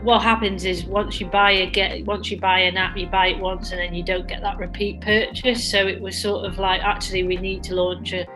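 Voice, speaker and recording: A woman's voice: mid-range voice, level tone, fairly quick, few pauses, poor audio. A woman co-founder answering interview questions in a conversational way, with many restarts and "sort of" fillers. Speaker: social enterprise co-founder. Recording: music playing under the voice.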